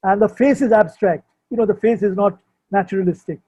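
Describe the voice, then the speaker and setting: A man's voice: sits high, fairly quick, dark tone, breathy edge. An older man, explaining things informally and hesitantly, often repeating himself as he searches for words. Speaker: poet and sculptor, guest at a book launch. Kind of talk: informal explanation at an online book launch.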